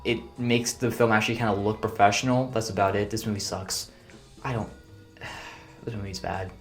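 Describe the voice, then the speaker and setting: A young man reviewing movies in a casual, blunt rant full of fillers, sounding exasperated. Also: mid-range voice. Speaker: video reviewer.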